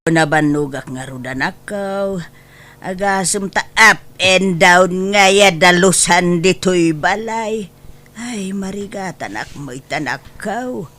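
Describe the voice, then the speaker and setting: A woman acts out an older, weary mother in a homely comedy drama. She talks slowly, with a bright, forceful edge to her voice. Complaints come with sighing exclamations. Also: lowish voice. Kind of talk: Ilocano comedy drama acting.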